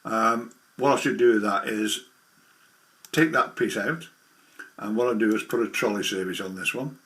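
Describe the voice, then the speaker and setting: Bright-voiced, lowish man, rambling along. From the English Midlands. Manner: rambling, halting explanations. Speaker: hobbyist presenter demonstrating software. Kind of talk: casual screen demonstration.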